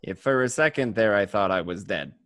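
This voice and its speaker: A man's voice: lowish voice, animated, a little fast, a bit bright, dry recording, studio quality. An actor playing a hot-headed, anxious gangster in a radio play, telling his story in profane, stammering bursts. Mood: resigned recounting. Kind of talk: first-person crime narration and dialogue, radio play.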